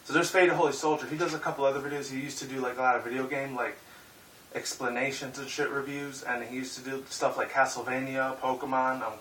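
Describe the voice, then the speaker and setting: A young man in his twenties talks loosely in a casual vlog, rambling, swearing and filling gaps with "like" and "um." His voice is bright and forward. He sits back from the mic in an echoey room with a faint hiss. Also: mid-range voice. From Puerto Rican and Mexican heritage. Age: 20s. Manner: rambling, profane, filler-heavy. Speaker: YouTuber. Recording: roomy echo, mic at a distance, faint hiss.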